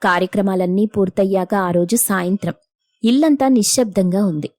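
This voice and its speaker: A woman narrator delivers a scripted moral tale. Her voice is mid-pitched for a woman. The recording is dry and close, without room sound. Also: normal speed, a little mellow, no echo.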